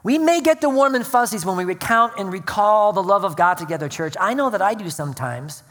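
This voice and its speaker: An earnest pastor preaching to his congregation, mixing prayer and scripture reading with plain explanation. His voice sits at a middle pitch and rings out with the long reverb of a church sanctuary. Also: lively intonation, a little fast. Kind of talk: sermon.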